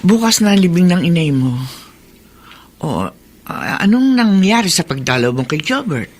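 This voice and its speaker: A man's voice: sits high, big pitch swings, normal speed, a little hiss or hum. A man with a Filipino accent playing a concerned, pleading older neighbour in a radio drama.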